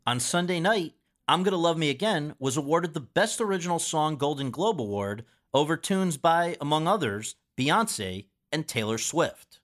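A man hosting a podcast, reading a measured scripted introduction full of quotations, then asking polite questions. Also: a little high, expressive, a bit bright. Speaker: podcast host.

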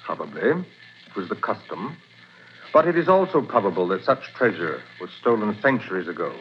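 An actor playing a formal, courteous museum curator in a 1940s radio drama, speaking in a measured way. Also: male voice, lowish voice, sing-song. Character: formal older male museum curator, doctor. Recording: dull lo-fi 1940s radio recording with hiss.

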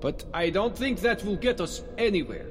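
An actor playing a defiant, calculating Russian commander with menacing, idealistic conviction in an audio drama. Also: male voice, high voice, animated, normal speed, long reverb tail, a little hiss or hum. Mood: coolly grandiose.

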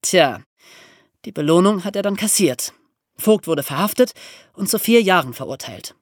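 Animated podcast storyteller with a dry, close sound. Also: medium pitch, moderate pace, no echo. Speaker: podcast presenter. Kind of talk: explanatory storytelling in a history podcast.

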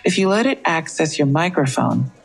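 A police investigator in a crime audio drama. The delivery is matter-of-fact and knowing, in a medium pitch with a gravelly creak. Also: animated, moderate pace.

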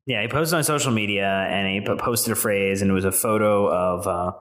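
A man's voice: on the low side, a little fast, fairly bright tone. A man co-hosting a football fan podcast, talking casually with many fillers and restarts. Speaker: podcast co-host.

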